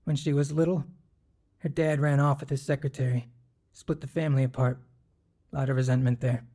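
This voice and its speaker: An actor playing a dying man in his thirties in a radio drama, halting and burdened with guilt as he confesses. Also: medium pitch, level tone, dark tone, close to an everyday voice. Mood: heavy, hesitant. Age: 30s.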